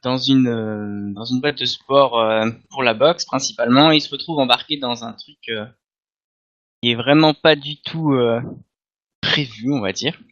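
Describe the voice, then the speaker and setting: A man's voice: mid-range voice, fairly bright tone. Slightly muffled, hesitant Frenchman on a podcast. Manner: hesitant, halting delivery. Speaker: podcast panelist. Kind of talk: casual group discussion.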